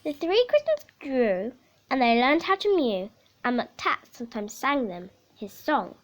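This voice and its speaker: A woman reading a children's picture book aloud in a theatrical, measured way, with dramatic emphasis. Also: high-pitched, very animated. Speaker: story reader.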